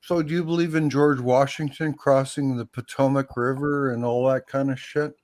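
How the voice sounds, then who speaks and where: A man hosting a livestream pushes back on everything his guest says, doubting each claim. He often restarts and repeats himself as he argues, and his voice sits at an ordinary middle pitch. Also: steady tone, moderate pace, a little mellow. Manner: skeptical, challenging, repeats himself. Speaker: livestream host. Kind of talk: heated casual debate.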